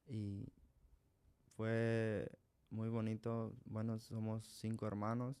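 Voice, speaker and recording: A young man from Guatemala with a Guatemalan Spanish accent, a guest in a radio studio telling his life story in a halting way, with restarts and small laughs. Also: lowish voice, little pitch movement, warm tone, clean tone. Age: young adult. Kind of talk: hesitant personal testimony.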